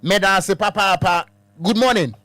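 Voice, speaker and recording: A man's voice: sits high, moderate pace, pushed and bright, no room sound. A Ghanaian man hosting a phone-in talk show on the radio from a studio. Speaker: radio host.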